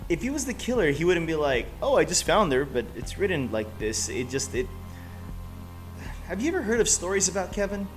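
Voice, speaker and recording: A man's voice: high-pitched, sing-song, brisk, rough recording. A man co-hosting a horror show, thinking aloud in slangy, casual conversation. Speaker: co-host commenting on horror stories. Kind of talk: casual chat. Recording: clear music bed behind the voice. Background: background music.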